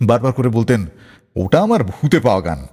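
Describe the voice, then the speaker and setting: Highly animated radio storyteller, male. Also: fairly quick, slightly rough, long reverb tail. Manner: dramatic, expressive delivery. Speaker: radio show host.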